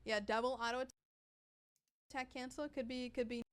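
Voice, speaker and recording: A woman's voice: on the high side, fairly even intonation, normal speed, fairly bright tone, clean tone. A woman who works on a game, presenting its updates on a livestream in an upbeat, explanatory way with plenty of fillers.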